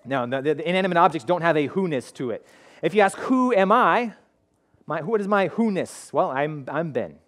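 Man, thirties, pastor, conversational sermon, animated, frequent restarts, headset mic, school hall. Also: a little high, normal speed. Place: school hall with curtained stage.

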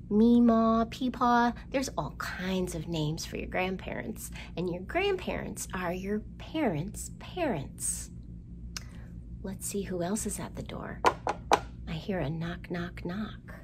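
A middle-aged woman presents a children's storytime in simple, questioning language. Her pitch moves widely and expressively, and she takes her time. The recording is made indoors on a camera mic, with some noise behind her. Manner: simple child-directed talk with questions and exaggerated delivery. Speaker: children's librarian, storytime host. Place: indoor room, seen at a storytime backdrop.

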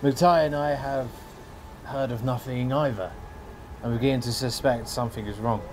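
A man acting in an audio drama as a level-headed polar expedition member, measured and serious. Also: mid-range voice, fairly even intonation, darkish tone, slight background noise, natural voice. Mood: concerned.

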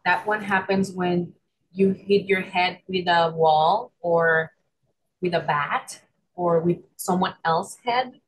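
A woman's voice: fairly low pitch, slow pace, slight background noise, poor audio. A young woman with a Puerto Rican Spanish accent, a chiropractor giving an online lecture over a video call, explaining with frequent ums and restarts. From Puerto Rico. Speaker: chiropractor presenter.

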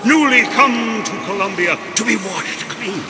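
High-pitched zealous old preacher. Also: male voice, lively intonation, unhurried, heavy background noise. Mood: solemn fervour. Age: older. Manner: theatrical, declamatory. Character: zealous older male cult preacher. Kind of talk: fervent sermon and baptism rite.

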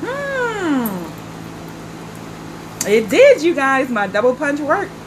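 A woman's voice: high voice, slow pace, a little hiss or hum, low-quality recording. A woman in her forties from the Carolinas with a stuffy nose and a slightly nasal voice, chatting casually to camera as a vlog host. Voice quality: a little nasal. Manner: relaxed, chatty, smoking while she talks. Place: outdoor patio at night.

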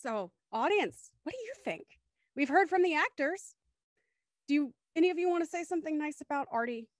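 High-voiced woman hosting and narrating. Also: expressive, a little fast, dry recording, studio quality. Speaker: host reading stage directions. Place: home, on a video call.

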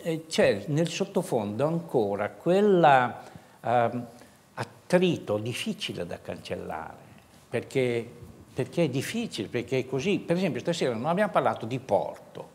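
A man's voice: medium pitch, big pitch swings, moderate pace, darkish tone. A man, moderating a studio debate as host. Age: 70s. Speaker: talk show host.

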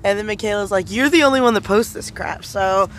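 A man's voice: high voice, brisk, a bit bright. A young American man chatting casually and sarcastically, recounting arguments and mockingly quoting other people. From United States. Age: young adult. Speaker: vlogger. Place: outdoors by a fence near a drive-through. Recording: outdoor street noise behind.

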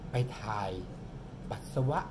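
A man in his forties improvising a ghost story for his young daughter at home, dramatic and drawn out for suspense. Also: heavy background noise. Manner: animated, dramatic storyteller who slows down for suspense. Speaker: father telling a bedtime-style ghost story to his daughter. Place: home living room, indoors. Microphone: camera on the table in front, close.

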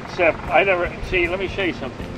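Chatty older man, fairly high voice. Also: racing, music in the background, low-quality recording. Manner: chatty, teasing, joking, gives tips. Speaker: host filming the interview. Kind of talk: casual chat. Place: outdoor marina dock by the water.

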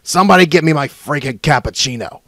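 A man's voice: a little high, animated, quick pace, bright, forceful tone, dry recording, studio quality, full character voice. A man acting a grumbling diva actor. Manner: grumbling, sarcastic. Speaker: fan voice actor. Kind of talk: fan dub bloopers.

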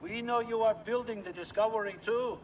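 A man plays a middle-aged Soviet scientist who probes with calm, measured words in a film scene. His voice sits high for a man, and a faint hiss lies under it. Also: far from the mic, dull audio, lightly acted. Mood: probing. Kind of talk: film dialogue.

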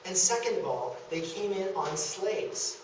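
A man's voice: high voice, expressive, unhurried. A young man giving a prepared history lecture to the public. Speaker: presenter of a local history talk. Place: indoor meeting room in a historic house. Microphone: distant camera microphone. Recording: echoey room from far off, faint noise.

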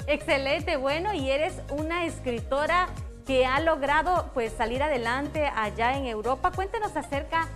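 A woman's voice: high-pitched, moderate pace, fairly bright tone, soft background music. A woman hosting a morning TV show, asking interview questions with upbeat enthusiasm. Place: TV studio.

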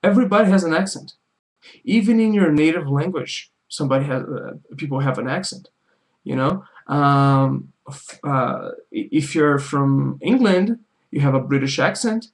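Man, Brazilian accent, Portuguese native speaker, casual vlog explanation, unhurried with restarts. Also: fairly high pitch. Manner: explaining, with many restarts and drawn-out words. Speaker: language learning vlogger. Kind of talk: casual talk to camera.

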